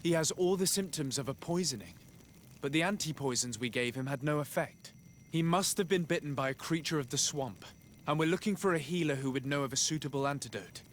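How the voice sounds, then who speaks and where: Game role: diplomatic young-sounding male envoy, acted by a man, polite and helpful, lightly high pitch, faint ambience behind. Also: fairly quick, a little hiss or hum, natural voice. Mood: calm, polite. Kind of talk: video game cutscene dialogue.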